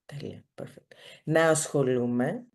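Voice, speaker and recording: A woman's voice: low-pitched, a little slow, darkish tone. A woman with a Greek accent, a native Greek speaker, hosting a casual, playful interview. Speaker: show host.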